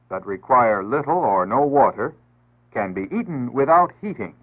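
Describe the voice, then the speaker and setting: An American man reads a scripted 1960s public service announcement in an earnest, instructive, formal way. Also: medium pitch. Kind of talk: 1960s scripted civil defense announcement narration. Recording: tinny band-limited 1960s radio-style archive audio.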